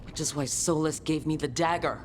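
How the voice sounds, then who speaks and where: A woman's voice: deep voice, fairly even intonation, brisk, lightly acted. An actress playing a determined woman hero who leads a fantasy resistance, resolute and plain-spoken, in a dramatic game cutscene. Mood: frustrated. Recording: clear ambient noise behind the voice.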